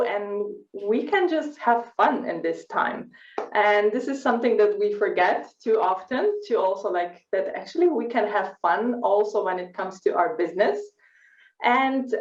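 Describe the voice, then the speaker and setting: A woman's voice: medium pitch, roomy sound, distant mic, highs cut off. A woman co-leading a live online workshop, talking in an upbeat, flowing way with many fillers. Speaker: workshop co-host.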